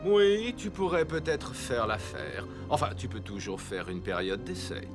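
A man's voice: mid-range voice, expressive, unhurried. A man playing a stern, imperious old bookseller and magician in a cartoon, with a commanding, warning manner. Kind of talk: French-dubbed cartoon acting. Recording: loud music underneath.

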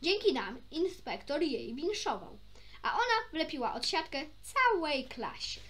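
A woman's voice: sits high, very animated, a little slow, fairly bright tone, a little hiss or hum. A woman reading a children's story aloud expressively, occasionally stumbling and rereading a phrase.

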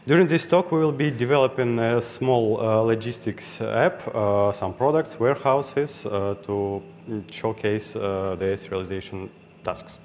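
A man's voice: on the low side, fairly even intonation, measured pace, darkish tone. A young man with a Russian accent giving a technical meetup talk, hesitant and full of fillers and restarts. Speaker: conference speaker. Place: meetup room with audience. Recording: hall reverb, faint ambient noise.

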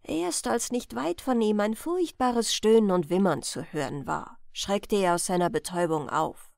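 A woman in her thirties reading a bedtime fairy tale as an audiobook host, with unhurried, expressive storytelling, close on a studio microphone. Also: mid-range voice, gravelly, dry recording. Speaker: audiobook reader and channel host. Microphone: large studio microphone, close.

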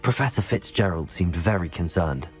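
A man's voice: sits low, lively intonation, moderate pace, a little mellow, soft background music. An actor playing a polite, earnest young wizard student reporting news to his teachers in a game. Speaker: game protagonist.

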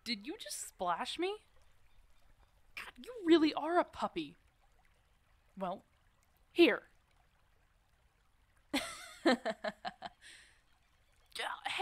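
A woman's voice: mid-range voice, a bit bright, dry recording, exaggerated voice. A woman playing a protective, teasing werewolf mentor in an ASMR roleplay, casual and bossy but affectionate. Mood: playful. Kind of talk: ASMR roleplay audio drama addressed to the listener.

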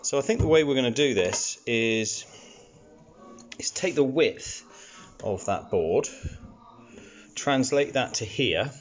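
A man's voice: mid-range voice, expressive, slow, long pauses, dull audio, faint music behind. Man explaining a DIY project. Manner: practical, explains step by step with fillers. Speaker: DIY renovation vlogger. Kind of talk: casual how-to narration. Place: house under renovation.

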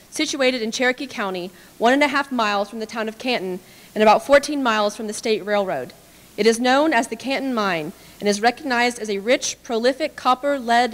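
A young woman giving a measured, formal reading of a historical text at a podium microphone. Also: on the high side. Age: young adult. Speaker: reader at a staged dramatic reading. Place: large indoor hall with small audience. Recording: echoey hall, podium microphone.

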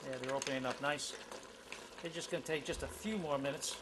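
A man's voice: mid-range voice, steady tone, fairly quick, background noise. A middle-aged man hosting a home cooking show, demonstrating a dish in his kitchen with enthusiasm and plenty of fillers. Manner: enthusiastic, talks while cooking, many fillers.

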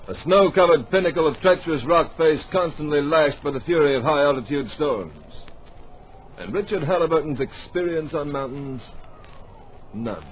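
An actor playing a dramatic, authoritative narrator in an old radio drama, telling the story in clipped present tense. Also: male voice, a little high, natural voice. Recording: muffled, noisy old radio recording.

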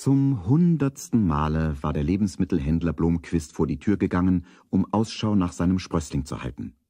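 An actor narrating a German children's radio play as a storyteller, in scripted narration. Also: male voice, sits low, animated, moderate pace, warm tone, slightly rough, soft background music, exaggerated voice. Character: calm storyteller of a children's detective tale.

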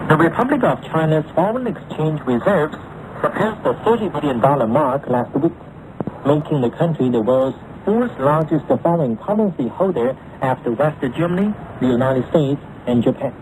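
A man's voice: on the high side, expressive, moderate pace. A man reading a scripted radio commentary as a commentator on noisy 1980s shortwave.

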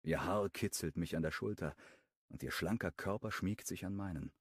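A man narrating a first-person romance audiobook in a calm, wry tone. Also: sits low, moderate pace, breathy edge, dry recording, studio quality.

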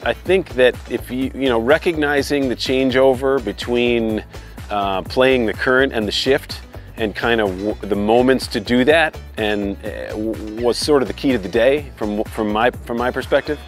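A sailor man answering interview questions after racing, halting with many fillers. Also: medium pitch. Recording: music bed behind.

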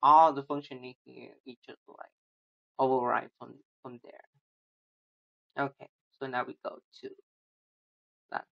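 Halting man walking through code. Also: medium pitch, flat delivery, creaky. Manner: halting, many restarts and fillers, non-native phrasing. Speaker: student presenting a coding project. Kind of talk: screen-recorded code walkthrough. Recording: dull lo-fi sound.

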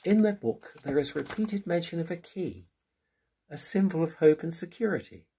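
An older man reading his prepared book review in a measured, earnest way, recorded on a close-up webcam in a small room at home. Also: a little high, animated, dark tone, slight background noise.

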